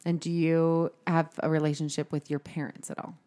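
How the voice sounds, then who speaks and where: A woman's voice: sits low, level tone, normal speed, mellow. A woman co-hosting a podcast, talking in a chatty, informal way and putting questions to a guest. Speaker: podcast co-host. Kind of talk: casual podcast chat.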